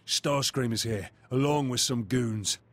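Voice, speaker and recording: An actor voicing a confident robot soldier in a video game, reporting in a dry, clipped, wry way. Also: male voice, medium pitch, measured pace. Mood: focused, confident.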